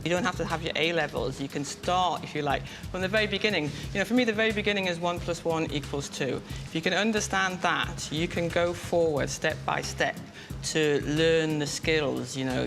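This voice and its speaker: Engineer interviewee, mid-range creaky voice, thoughtful and plain-spoken, music underneath. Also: mid-range voice. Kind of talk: informal interview, reflective explanation.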